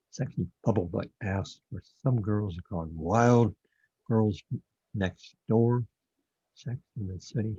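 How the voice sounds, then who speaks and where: A man playing a confused, paranoid, brooding man who lives inside a computer, with a husky voice, in an audio drama. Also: on the low side, mellow, no room sound. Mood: relieved, rambling. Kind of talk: audio drama monologue and dialogue. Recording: dull, lo-fi, dry close recording.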